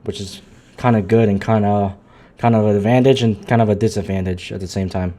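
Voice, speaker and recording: A man live streaming a video game, reading lines aloud and commenting casually in a halting way. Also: on the low side, steady tone, a crowd in the background. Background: faint game music.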